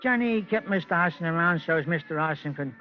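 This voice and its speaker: An actor playing a nervous, wheedling small-time hustler in an old radio crime drama, stammering and putting on genteel airs. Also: male voice, fairly high pitch, animated, fast, lightly acted. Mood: eager, chatty. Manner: stammering, hesitant, mock-genteel. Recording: muffled old radio recording, music behind.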